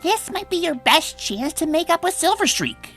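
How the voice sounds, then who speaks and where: Brash, bright-voiced cartoon pony gal, acted by a woman. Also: very high voice, sing-song, normal speed, faint music behind, exaggerated voice. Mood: sheepish. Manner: brash, emphatic. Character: brash, loyal, fiery female pony racer friend. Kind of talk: comic dub character acting.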